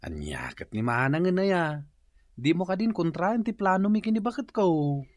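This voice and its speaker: An aggrieved younger husband from a radio comedy drama. He is eager and complaining, with a very animated delivery and a dry studio sound. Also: high voice, normal speed, no echo. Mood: defensive. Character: eager, aggrieved younger married man planning purchases.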